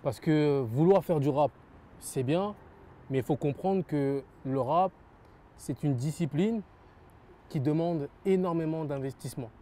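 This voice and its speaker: A man's voice: a little high, unhurried, dark tone. A young French man giving earnest interview answers about his rap label, repeating phrases and using many fillers. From France.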